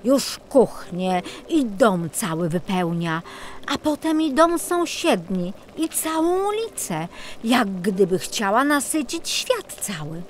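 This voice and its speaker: A woman narrating a scripted children's fairy tale in an expressive, measured storytelling manner. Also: medium pitch, slight background noise. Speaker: audiobook narrator.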